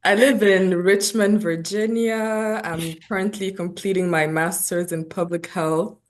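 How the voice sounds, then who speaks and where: Young woman from burkina faso, lowish voice, calm and clear. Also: unhurried.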